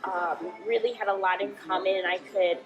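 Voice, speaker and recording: A woman's voice: medium pitch, fairly even intonation, normal speed, muffled recording, music in the background. A woman from the United States chatting as an interview guest, laughing often and using many fillers. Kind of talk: casual interview chat.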